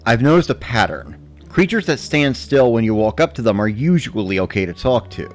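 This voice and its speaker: A man giving a measured, matter-of-fact first-person narration of a scripted story. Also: mid-range voice, animated. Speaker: narrator of a dramatic reading.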